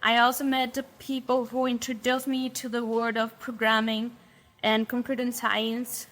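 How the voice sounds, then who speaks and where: A woman's voice: fairly high pitch, flat delivery, normal speed, a bit bright. A young woman from Brazil with a Brazilian Portuguese accent, speaking English as a second language and sharing her faith testimony in an interview, choosing her words carefully. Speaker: interview guest.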